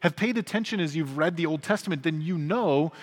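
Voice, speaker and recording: A man's voice: on the high side, fairly quick. Earnest man preaching in a reverberant hall. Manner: earnest, emphatic preaching with dropping endings. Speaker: pastor. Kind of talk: sermon. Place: church sanctuary.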